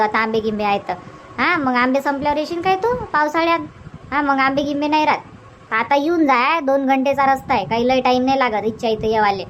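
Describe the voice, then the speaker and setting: A woman's voice: sits high, a bit bright, a little hiss or hum. A woman voicing a practical village grandmother in a cartoon, coaxing and advising in a rustic Varhadi Marathi accent. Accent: Varhadi Marathi, Vidarbha. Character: village grandmother, practical advice-giving mother. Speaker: cartoon character voice. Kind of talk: homely cartoon comedy dialogue.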